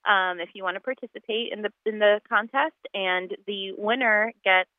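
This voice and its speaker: A woman's voice: medium pitch, moderate pace, no room sound. A woman born in Poland, a guest talking casually about fundraiser events, chatty with many ums and restarts. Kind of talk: casual radio interview by phone. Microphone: phone line. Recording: tinny phone line.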